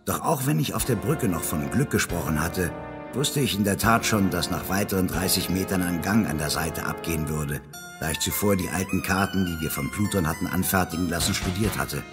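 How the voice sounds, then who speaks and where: A man playing a calm, confident space-sheriff leader in a German sci-fi audio drama, composed and authoritative. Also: deep voice, a little fast, reverberant, big-room sound, music in the background, lightly acted. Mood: reflective. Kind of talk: German sci-fi audio drama, dialogue and first-person narration.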